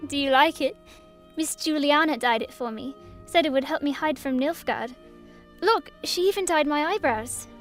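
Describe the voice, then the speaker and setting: A young orphaned princess in an audio drama, acted by a woman. Her voice is high and childlike, earnest and resolute beneath old hurt. Soft music runs underneath. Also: normal speed, close to an everyday voice. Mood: eager, curious.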